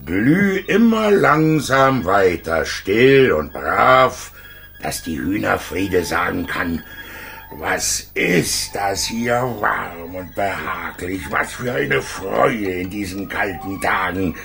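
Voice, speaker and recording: A fat, cosy old stove in a children's radio play. The voice is deep and creaky with big melodic swings. It is a placid but bossy grumbler that likes to give orders. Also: male voice, animated, a bit bright, soft background music, heavily acted. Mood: soothing. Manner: drawn-out, soothing then commanding.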